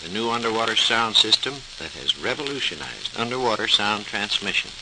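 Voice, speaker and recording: Low-voiced man narrating crackly old radio. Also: expressive, a little fast. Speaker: radio storyteller. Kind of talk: 1940s scripted radio narration.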